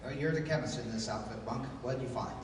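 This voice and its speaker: A man acting a commanding, analytical adventure hero who leads his crew, in the deliberate, authoritative style of 1930s radio drama. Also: mid-range voice, natural voice. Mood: grave, deliberate. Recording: echoey hall from far off, noisy.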